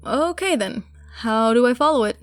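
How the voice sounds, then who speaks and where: A woman's voice: on the high side, animated, normal speed, faint music behind, close to an everyday voice. A woman playing a tough, impatient monster hunter in a fantasy audio drama, blunt, casual and wry. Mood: stubborn, wry.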